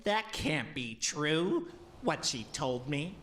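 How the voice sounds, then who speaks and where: A woman's voice: deep voice, very animated, slow pace, fairly bright tone, a little hiss or hum, heavily acted. A woman playing a stubborn, cheeky young man in an audio folktale, polite but cocky in manner. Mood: doubtful. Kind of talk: audio drama folktale acting.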